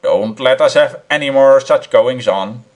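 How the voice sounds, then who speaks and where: A man's voice: mid-range voice, measured pace, natural voice. A man voicing a stern policeman who scolds and orders people along. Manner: severe, loud, scolding. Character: stern, no-nonsense male policeman. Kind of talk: audiobook character reading.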